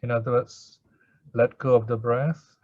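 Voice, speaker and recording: Man, Buddhist meditation teacher, very even tone, unhurried and gentle, dull lo-fi recording. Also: mid-range voice, no room sound. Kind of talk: Pali chanting and guided meditation instruction.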